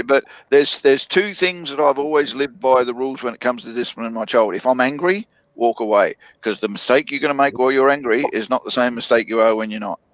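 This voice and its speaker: A man talking casually in a group chat, halting, with frequent restarts and fillers. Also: medium pitch, a bit bright, no room sound, highs cut off.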